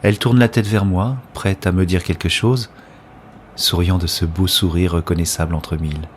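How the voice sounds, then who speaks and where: A man playing an introspective, gently wry narrator in a science-fiction audio drama, speaking in a literary, observational way. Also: low-pitched, fairly even intonation, normal speed, slight background noise, natural voice. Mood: pensive, observant.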